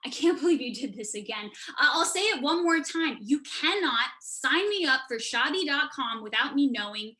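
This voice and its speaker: A woman's voice: high voice, animated, moderate pace, few pauses, pushed and bright, voice pushed up. A young woman playing an exasperated, outspoken thirty-year-old daughter in a staged reading, emphatic and indignant. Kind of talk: staged reading over video call.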